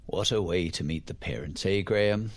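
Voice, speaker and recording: An actor playing a dry, stubborn middle-aged English father who needles quietly, gravelly and husky, in an audio drama. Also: deep voice, normal speed. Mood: wry, matter-of-fact. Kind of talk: naturalistic audio drama acting.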